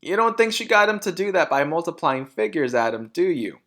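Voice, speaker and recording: A man's voice: a little high, very animated, fairly quick, a bit bright, pitched above the performer's own voice. A man voicing a vain, smug, scornful mother in a storybook, with brash and emphatic phrasing.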